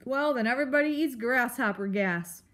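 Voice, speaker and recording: A woman's voice: on the high side, normal speed, fairly bright tone, lightly acted. A woman voicing a cantankerous old male storybook porcupine, blustering and exclaiming crude, colourful insults. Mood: exasperated.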